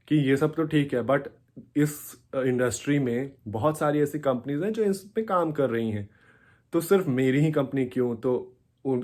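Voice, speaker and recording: A man's voice: mid-range voice, a little mellow. A young man with an Indian accent chatting casually as a vlogger, explaining things and switching often between two languages. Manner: code-switches between Hindi and English, explanatory. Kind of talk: casual vlog chat.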